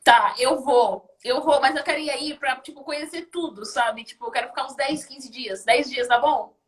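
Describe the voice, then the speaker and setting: A woman's voice: high-pitched, moderate pace. A young Brazilian woman with a Brazilian Portuguese accent, chatting casually as a guest on a live stream and asking lots of questions.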